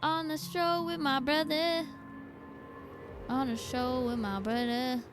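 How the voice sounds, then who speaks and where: A woman's voice: high voice, fairly even intonation, takes it slowly, over music. A woman livestreaming a game, chatting casually and playfully with her viewers. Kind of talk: casual game stream commentary.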